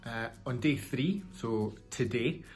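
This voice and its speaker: A man's voice: medium pitch, slow, a little mellow, soft background music. A man working as a sound designer, explaining his work in an interview style.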